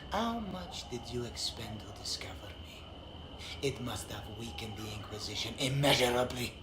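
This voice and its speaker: Pompous aristocrat villain, acted by a man, grandly declaiming, mid-pitched, echo and heavy noise around him. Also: lively intonation, moderate pace, close to an everyday voice. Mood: haughty, indignant. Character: pompous, self-important male noble villain, haughty and theatrical. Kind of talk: video game dialogue acting. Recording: roomy echo, loud background noise.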